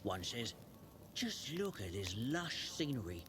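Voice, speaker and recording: An actor playing a jovial stalker in a video game, telling jokes with theatrical delivery. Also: male voice, mid-range voice, sing-song, unhurried, over music. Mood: cheerful. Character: jovial campfire joker, a stalker cheering up comrades.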